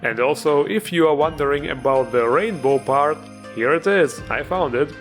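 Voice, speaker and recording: A man with a fairly high-pitched voice hosts a gaming review. His scripted narration is playful and tongue-in-cheek, carried over background music. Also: moderate pace. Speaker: gaming video host.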